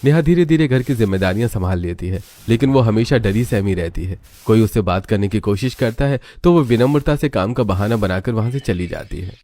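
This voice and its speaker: Low-voiced man narrating a dramatic story. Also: animated, brisk, few pauses, warm tone.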